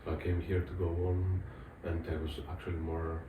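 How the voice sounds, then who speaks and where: Low-voiced Greek man, halting, distant echoey recording. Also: monotone, narrow, tinny audio. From Thessaloniki, Greece. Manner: halting, searching for words. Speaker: interviewee, musician. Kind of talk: casual interview answers in English. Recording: distant, echoey, clearly noisy room.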